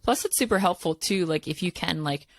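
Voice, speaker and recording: A woman hosting a casual interview, talking in a relaxed, rambling way with many fillers. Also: on the low side, a little fast. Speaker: podcast host.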